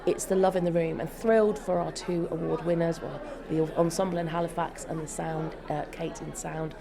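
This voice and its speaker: A woman's voice: low voice, flat delivery, moderate pace, vocal fry. A woman giving an effusive, emphatic interview as a company executive. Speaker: interview guest, joint chief executive of a theatre company. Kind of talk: enthusiastic interview talk. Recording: busy crowd chatter behind voice. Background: event crowd.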